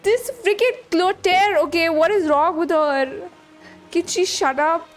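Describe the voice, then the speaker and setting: A young woman reacts live as a game streamer. Her voice is high and her pace slow and halting. She talks informally and emotionally, with faint game music behind her.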